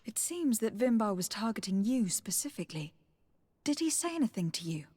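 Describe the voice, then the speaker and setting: A husky, earnest young noblewoman. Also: fairly high pitch, big pitch swings, a little fast, warm tone. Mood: concerned, questioning. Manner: earnest, direct. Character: earnest young noblewoman, protective, level-headed adventurer. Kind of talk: dramatic fantasy game acting.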